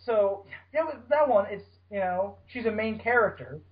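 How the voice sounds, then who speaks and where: Higher-voiced man, bantering podcast host, dull audio. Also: lively intonation, moderate pace. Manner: bantering, swearing. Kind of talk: casual chat about fan fiction.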